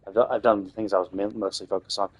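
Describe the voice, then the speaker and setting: A man's voice: lowish voice, steady tone, fast, slightly rough. A man streaming a game live, thinking aloud in a loose, rambling way with lots of restarts. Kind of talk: casual live-stream commentary while playing.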